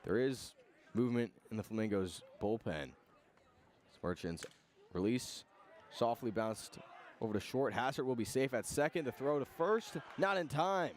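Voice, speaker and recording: A man's voice: medium pitch, expressive, moderate pace. A man doing live radio play-by-play commentary of a baseball game outdoors.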